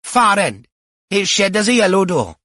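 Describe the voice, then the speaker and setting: A man's voice: high voice, sing-song, normal speed, bright, forceful tone, no echo, clean studio sound, pitched above the performer's own voice, exaggerated voice. A man voicing a flustered woman gardener in her seventies, chatty and questioning. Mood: flustered, wary.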